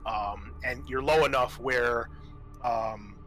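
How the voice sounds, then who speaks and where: A man with a bright, mid-pitched voice acts as game master for a tabletop roleplaying game. He explains scenes and rules in a halting, improvised flow. Faint music plays behind him. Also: fairly even intonation, poor audio.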